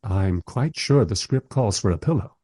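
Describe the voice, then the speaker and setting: An actor in a radio play voicing a polite, dryly sceptical radio interviewer with a measured, understated manner. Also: male voice, on the low side, lively intonation, warm tone, no room sound. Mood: polite, doubtful.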